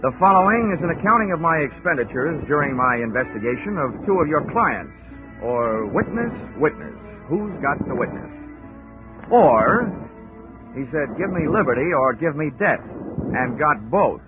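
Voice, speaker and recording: A man's voice: fairly high pitch, sing-song, normal speed. A man playing a wry, sardonic male insurance investigator who narrates a 1940s radio drama. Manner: dry, sardonic, laid-back delivery. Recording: dull old radio broadcast with music.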